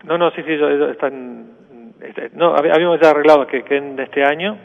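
A man's voice: fairly high pitch, fairly even intonation, brisk, creaky, long reverb tail. An Argentine man from northern Santa Fe, a priest, talking by phone in a radio interview, often repeating words and adding "¿no?". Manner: repeats words, many tags of "¿no?". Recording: tinny phone line.

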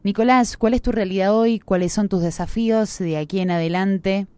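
A woman co-hosting a radio interview, speaking in a calm, reflective way. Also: medium pitch, moderate pace, clear, resonant tone, no room sound. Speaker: radio co-host.

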